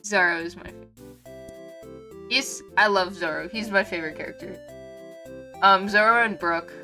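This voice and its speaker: A woman's voice: medium pitch, moderate pace, sharp tone, soft background music. A woman streaming a game with casual live commentary, chatty, gushing and self-deprecating. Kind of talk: casual live commentary while reading a visual novel.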